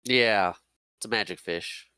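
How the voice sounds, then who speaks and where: Bright-voiced man chuckling along. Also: on the low side, clean tone, no echo. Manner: laughs often, quick agreeing replies. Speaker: podcast co-host. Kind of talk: casual chat about a film.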